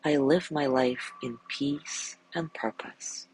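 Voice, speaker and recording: A man guiding a sleep meditation in a gentle, soothing way, softly spoken and unhurried, with long pauses between phrases. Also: mid-range voice, a little hiss or hum, low-quality recording. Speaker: meditation guide.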